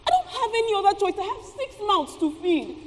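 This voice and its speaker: A woman's voice: very high-pitched, sing-song, fairly quick. A woman playing a bitter, struggling market seamstress and mother, emphatic and sarcastic in a stage play. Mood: anguished. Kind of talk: theatrical stage play acting. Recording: echoey stage hall with background noise.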